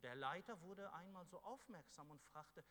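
A man preaching a sermon to a congregation, fervent, moving between loud exhortation and quiet, earnest passages. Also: high-pitched, animated, moderate pace. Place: church hall. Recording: echoey hall with faint hum.

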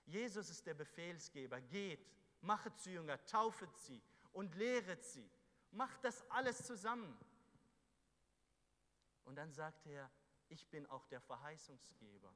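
A man preaching a sermon to a congregation, fervent, moving between loud exhortation and quiet, earnest passages. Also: a little high, sing-song, unhurried. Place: church hall. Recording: echoey hall with faint hum.

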